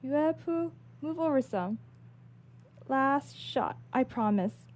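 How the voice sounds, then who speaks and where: A woman's voice: sits high, lively intonation, slow pace, a little mellow, voice pushed up. A woman voicing a dreamy, playful young noblewoman archer in a storybook reading. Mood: playful, eager.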